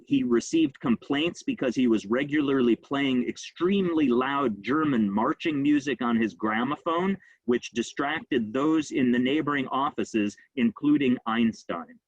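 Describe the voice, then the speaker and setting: A man giving an informal history talk, speaking quickly and conversationally with frequent ums and uhs. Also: medium pitch, dry recording, dull audio. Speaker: rabbi giving a history lecture.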